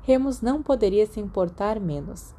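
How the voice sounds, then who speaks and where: Audiobook narrator: Brazilian woman, mid-range voice, mellow delivery of scripted fiction. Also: mid-range voice, lively intonation, moderate pace. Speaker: podfic narrator.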